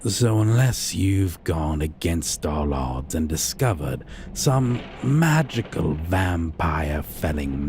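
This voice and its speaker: Sadistic male vampire, man acting, ASMR roleplay: rock-bottom pitch, slow, mocking, formal menace, noisy home recording. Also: full character voice. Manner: drawling, condescending, menacing, archly formal.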